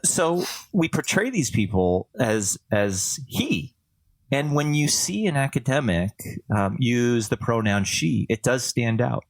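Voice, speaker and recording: A man's voice: medium pitch, lively intonation, takes it slowly, no room sound, poor audio. A man from Canada chatting casually as a podcast co-host, explaining things in a thoughtful, discursive way.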